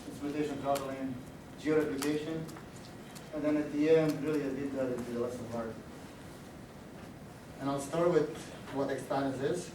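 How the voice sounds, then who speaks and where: A man with a Romanian accent giving a technical conference talk, halting with many fillers and restarts. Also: mid-range voice. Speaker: conference speaker. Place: large echoey conference room. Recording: echoey room audio, distant mic, background noise.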